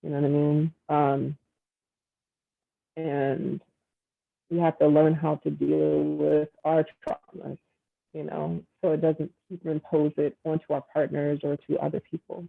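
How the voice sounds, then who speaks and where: A woman's voice: low voice, level tone, unhurried, vocal fry. A woman talking in a casual video-call conversation, earnest and reflective, with many fillers. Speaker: dating guest. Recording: tinny phone-like line.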